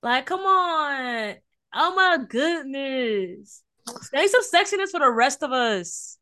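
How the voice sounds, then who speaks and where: A woman's voice: sits high, expressive, slow pace, bright, forceful tone, no room sound, clean studio sound. A young Southern woman from Houston, co-hosting a casual podcast in a playful, teasing way. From Houston, Texas. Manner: playful, teasing, hypes up her co-host. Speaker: podcast co-host.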